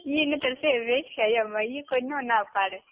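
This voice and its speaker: A woman with a Gujarati accent speaks over a narrow, tinny telephone line. Her voice is high, and she talks in a casual, colloquial way, as in a private chat. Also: a little slow. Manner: colloquial, brief backchannels. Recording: tinny narrowband phone call recording.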